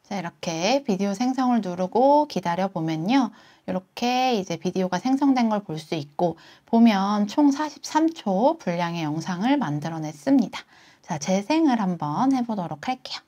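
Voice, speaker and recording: A woman narrates a screen walkthrough in the manner of a tutorial host. Her voice sits at an average pitch as she explains each step in turn. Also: animated, normal speed, mellow.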